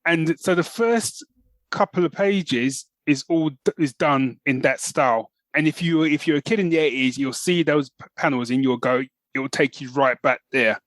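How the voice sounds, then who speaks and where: A man's voice: fairly high pitch, moderate pace, fairly bright tone, dry recording. Casual middle-aged British man, close dry sound. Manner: informal, often says man and you know. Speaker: podcast co-host. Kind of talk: casual chat.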